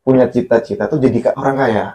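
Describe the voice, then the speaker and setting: A man's voice: mid-range voice, steady tone, fast, mellow. A man talking in a casual religious chat, blunt and preachy, laughing often. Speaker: content creator.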